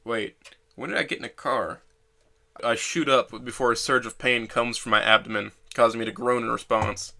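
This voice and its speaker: A man's voice: mid-range voice, fairly even intonation, normal speed, gravelly, sharp tone. A man playing a bitter, self-loathing young man who narrates his own story in a sardonic, brooding way for an audio drama. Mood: dazed, confused.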